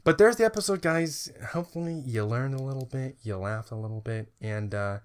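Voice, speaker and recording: A young man co-hosting a podcast, talking loosely and rambling. His voice sits at an ordinary pitch, and he trips over repeated words and fillers as he chats. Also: lively intonation, brisk, clean tone. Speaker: podcast co-host. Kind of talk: casual chat.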